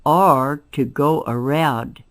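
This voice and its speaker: A woman in her nineties reading a rhyming children's book aloud, phrase by phrase with deliberate pauses. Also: low voice. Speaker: amateur story reader.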